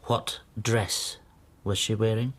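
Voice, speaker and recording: An actor playing a mild, lonely, wronged bookseller in despair, halting and introspective in a radio drama. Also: male voice, on the low side, steady tone, fairly bright tone. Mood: anxious, subdued. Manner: introspective, halting, talking to himself. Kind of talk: radio drama acting with interior monologue.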